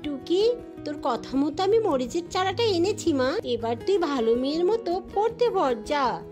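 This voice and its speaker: Woman voicing a high, fussing cartoon mother bird. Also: very animated, moderate pace. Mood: fussing, caring. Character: Tuni, protective mother bird, scolding yet caring. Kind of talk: children's cartoon voice acting. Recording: music behind the voice. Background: music.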